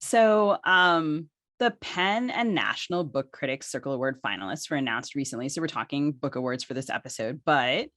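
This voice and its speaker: A woman co-hosts a podcast in a deep voice with very lively intonation. She is conversational and wry, and the sound is close and dry. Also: moderate pace, dry recording. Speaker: podcast co-host.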